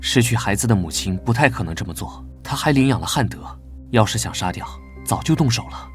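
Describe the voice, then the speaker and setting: A man playing a gentle, weary, earnest young knight in an animated drama. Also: lowish voice, a little fast, faint music behind, close to an everyday voice. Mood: questioning, serious. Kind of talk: Chinese animated drama voice acting.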